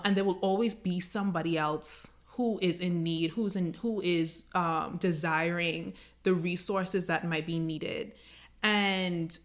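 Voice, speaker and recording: A woman from the Bahamas, an educator who works with international students, speaking in a measured, thoughtful way on a podcast. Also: lowish voice, a bit bright. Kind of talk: casual podcast discussion.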